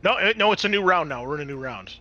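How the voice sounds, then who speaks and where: Male game master, bright animated delivery, casual swearing, improvised narration over faint music. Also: a little high, fast, highs cut off. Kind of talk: running a tabletop roleplaying game, improvised narration.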